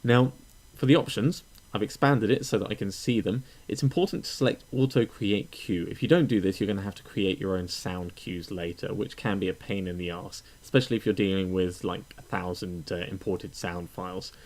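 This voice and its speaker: Guy with a lowish voice walking through software steps, conversational, lots of uhs and pauses. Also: steady tone. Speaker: tutorial narrator. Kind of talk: casual step-by-step software tutorial.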